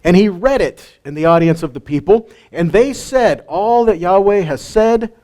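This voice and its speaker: Male Bible teacher, a bit high-pitched, lively and chatty, heavy on rhetorical questions. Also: normal speed. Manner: animated, asks rhetorical questions, jokes with listeners. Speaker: teacher of a weekly Torah portion.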